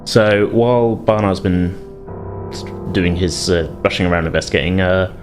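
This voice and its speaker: A man's voice: sits low, moderate pace, music in the background. A man narrating a tabletop roleplaying game as game master, improvising in hesitant, piecemeal phrases.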